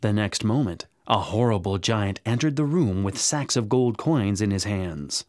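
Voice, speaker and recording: A man narrating a scripted children's bedtime story in a dramatic, expressive way. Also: fairly low pitch, a little slow, no room sound, clean studio sound. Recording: music under the narration. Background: music.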